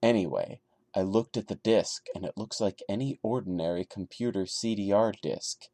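Low, mellow-voiced male podcast host. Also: moderate pace, dry recording, studio quality. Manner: wry, jokey, chatty asides. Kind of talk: dramatic reading of an internet horror story with joking commentary.

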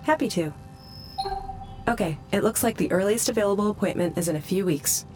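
A woman's voice: medium pitch, brisk, a little mellow, faint music behind, natural voice. A woman playing a helpful female AI assistant in a scripted podcast ad, composed and obliging.

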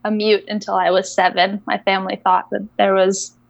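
A young woman from Indonesia, a guest coach telling her story in a casual interview, reflective and unhurried with soft laughs. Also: mid-range voice, little pitch movement, gravelly, dry recording, highs cut off.

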